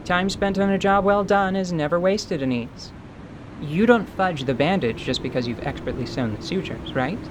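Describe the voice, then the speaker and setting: A woman voicing a wry, commanding female mercenary leader in radio theatre, deliberate and deadpan. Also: fairly low pitch, background noise, lightly acted. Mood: wry, chiding. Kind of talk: radio theatre character acting.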